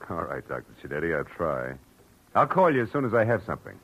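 An actor playing a hard-boiled, wry private detective, clipped and dry, in 1940s radio drama style on a dull old recording. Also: male voice, deep voice, big pitch swings, fast talker, over loud music. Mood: businesslike. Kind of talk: 1940s radio acting with noir narration.

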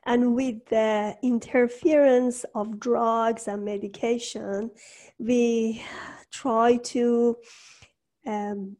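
A woman hosting a conversational interview, speaking English with halting, non-native phrasing. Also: on the high side, little pitch movement, dark tone. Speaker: interview host, coach.

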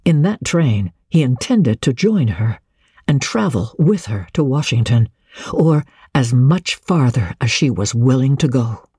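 Husky, mellow woman reading a classic novel. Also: rumbling low, animated, measured pace, dry recording, clean studio sound. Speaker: audiobook narrator. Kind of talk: dramatic literary reading.

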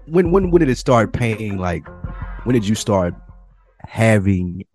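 A man's voice: fairly low pitch, big pitch swings, a little slow, darkish tone, faint music behind. An American man in his forties, a podcast co-host, chats and tells stories with profane slang. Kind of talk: casual chat, personal stories.

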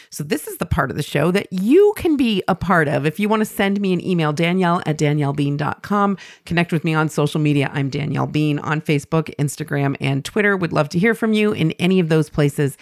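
A woman hosting a podcast, chatting warmly with guests and reading listener mail aloud. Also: low-pitched, no room sound, clean studio sound. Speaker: podcast host. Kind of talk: casual podcast talk.

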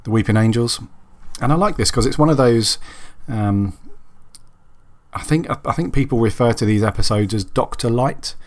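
A man hosting his own podcast, hoarse and husky from a cold, chatting casually and rambling with many ums and restarts. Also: medium pitch, moderate pace. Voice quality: raspy, husky. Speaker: podcast host. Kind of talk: casual solo podcast chat.

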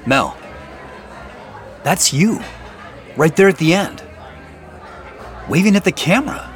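A man's voice: sits high, lively intonation, slow pace, some chatter behind. An actor playing an earnest, probing male boss in naturalistic audio drama, speaking in an insistent, questioning, conversational way. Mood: accusing, probing.

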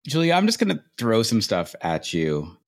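A man with a fairly low voice co-hosts a podcast. He speaks casually, tossing out animated opinions between interview questions. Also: moderate pace. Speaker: podcast co-host.

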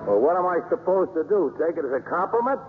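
A man's voice: a little high, big pitch swings, fast. A man playing a hot-tempered baseball manager struggling to behave, blustery and hesitant, in 1950s radio drama style. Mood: sheepish, defensive. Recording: tinny, noisy 1950s radio recording.

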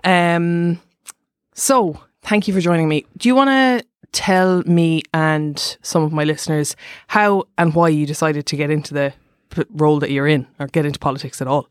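A woman's voice: deep voice, fairly even intonation, normal speed, clear, resonant tone, no echo, studio quality. A young woman hosting a podcast, chatting informally and often stopping to restart her sentences. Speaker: podcast host. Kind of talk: casual podcast chat.

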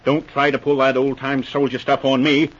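An actor playing an exasperated, officious police inspector with a brisk, sardonic manner in a radio drama. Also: male voice, mid-range voice, little pitch movement, husky, natural voice. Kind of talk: 1940s radio drama acting. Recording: dull vintage radio recording.

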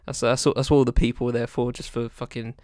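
A man's voice: medium pitch, level tone, fast talker, darkish tone, no room sound, clean studio sound. A man co-hosting a casual music podcast, rambling and restarting his sentences as he talks. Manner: rambling, hesitant, lots of restarts. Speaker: podcast co-host. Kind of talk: casual chat.

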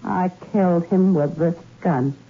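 An actress playing a cool, scheming femme fatale in a 1960s radio suspense drama, languid and matter-of-fact. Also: female voice, fairly low pitch, unhurried, breathy edge. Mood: cold, confessional. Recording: thin phone-like old radio recording.

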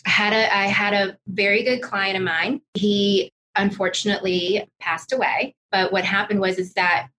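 Bright-voiced woman over background noise. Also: mid-range voice, steady tone, moderate pace, few pauses, low-quality recording. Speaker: podcast guest, corporate executive. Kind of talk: personal storytelling in casual interview.

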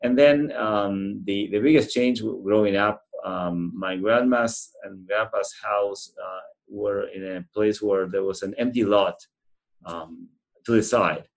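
A middle-aged man with an Argentine accent, answering interview questions in an earnest, halting, conversational way. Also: lowish voice, gravelly, poor audio. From central Argentina. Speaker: animal shelter representative being interviewed.

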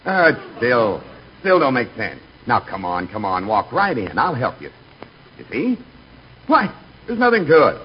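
A man's voice: mid-range voice, huge pitch swings, unhurried. A man playing a blunt, slangy, skeptical bank doorman in 1940s radio comedy. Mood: coaxing. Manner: slangy, ungrammatical. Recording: muffled, hissy 1940s radio recording.

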